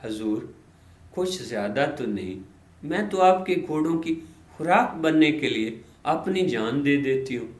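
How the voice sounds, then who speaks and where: A man's voice: a little high, expressive, echoey room, close to an everyday voice. A man voicing humble, self-sacrificing female grass with a meek, subdued manner.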